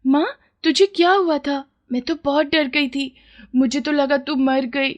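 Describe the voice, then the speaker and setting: A woman's voice: high voice, steady tone, a little slow, lo-fi sound, pitched above the performer's own voice. A woman voicing an innocent, anxious young village girl in a story. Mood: frightened, worried.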